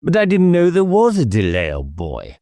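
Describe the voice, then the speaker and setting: A man voicing a brisk, unsmiling naval man in his fifties, dry and clipped, for an audiobook. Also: on the high side, huge pitch swings, warm tone, no echo, voice pushed up. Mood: dry protest. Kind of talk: dramatised audiobook dialogue.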